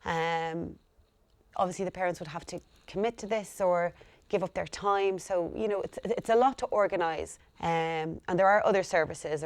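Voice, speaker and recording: Woman, low voice, school liaison talking to camera, calm and earnest. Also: creaky, no room sound. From Ireland. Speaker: school home-school liaison coordinator. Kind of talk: speaking to camera about her work.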